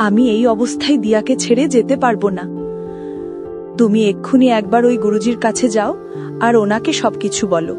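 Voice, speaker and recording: A woman's voice: on the high side, brisk, over music, close to an everyday voice. A woman playing a grieving, worried mother in a melodramatic cartoon. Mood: anxious.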